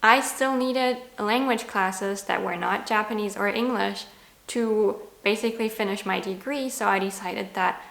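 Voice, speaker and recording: A woman's voice: mid-range voice, measured pace, creaky, fairly bright tone, roomy sound. A woman in her twenties from Germany with a German accent, chatting candidly and self-deprecatingly to camera about learning languages. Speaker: language vlogger. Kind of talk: casual talking-to-camera vlog.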